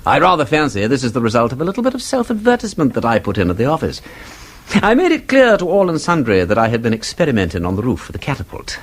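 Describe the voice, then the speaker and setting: Breezy, swooping aristocratic gentleman sleuth. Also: medium pitch, huge pitch swings, fast talker. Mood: wry. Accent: upper-class English. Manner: theatrical, playfully pedantic, flourishing delivery. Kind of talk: British radio drama acting.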